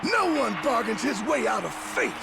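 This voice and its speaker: Furious, high-pitched, gravelly official. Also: male voice, big pitch swings, full character voice. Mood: furious, condemning. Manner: declamatory, slow and stern. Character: furious, imperious official condemning a disgraced commodore. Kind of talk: dramatic video game acting. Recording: loud music bed behind voice.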